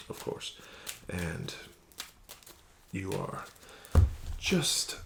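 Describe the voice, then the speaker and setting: Male ASMR casino dealer: unhurried, lilting and smoothly persuasive, with a polite formal delivery and frequent whispers. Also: low-pitched, background noise. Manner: formal, courteous, gently coaxing dealer patter. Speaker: casino card dealer in a roleplay. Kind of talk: ASMR roleplay, soft-spoken with whispered phrases.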